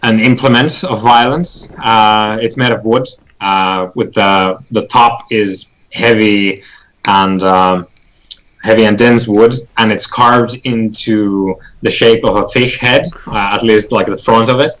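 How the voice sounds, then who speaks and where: A man playing a tabletop game, describing his character's actions haltingly as he thinks aloud. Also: lowish voice, steady tone, fairly bright tone, poor audio.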